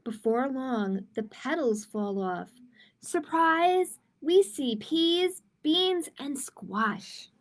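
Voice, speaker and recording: A woman's voice: sits high, lively intonation, extremely slow. A woman hosting a storytime for young children, reading picture books aloud expressively with informal asides to the viewers. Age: adult. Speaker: children's library storytime host. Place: live-streamed online storytime.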